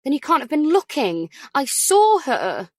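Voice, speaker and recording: Audiobook thriller role: woman as distraught new mum, high and shrill, insistent, pleading. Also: big pitch swings, a little slow, a little mellow, no echo, full character voice. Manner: urgent, pleading. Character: panicked new mother on a hospital ward, insistent.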